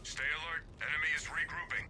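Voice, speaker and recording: A man plays a video game squad soldier with a slightly high voice. He barks clipped, commanding combat callouts while loud music plays behind him. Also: steady tone, fast talker, close to an everyday voice. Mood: urgent, commanding. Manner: clipped, commanding military delivery. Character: male squad soldier calling out battle orders.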